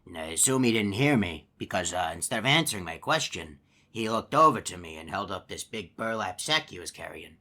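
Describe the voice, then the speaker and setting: A man doing a deep, bright, rambling hippo animatronic. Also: sing-song, fairly quick, full character voice. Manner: rambling, folksy, full of uh and you know. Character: chatty male hippo animatronic, easygoing storyteller. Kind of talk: game character monologue.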